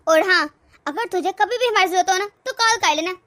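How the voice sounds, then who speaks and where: एक औरत घरेलू मेलोड्रामा कहानी में जवान पत्नी को ज़ोर देकर सलाह देती रौबीली, चालबाज़ सहेली का किरदार निभा रही है।. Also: female voice, very high voice, a little fast, bright, forceful tone. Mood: scheming, reassuring. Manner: insistent, instructing. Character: bossy, scheming female friend advising a young wife. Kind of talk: melodramatic domestic story acting.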